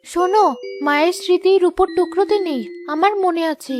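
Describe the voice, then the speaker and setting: Woman acting a very high-pitched devoted young wife. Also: brisk. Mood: encouraging, resolute. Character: poor fisherman's devoted wife, brave and encouraging. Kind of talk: dramatic cartoon voice acting.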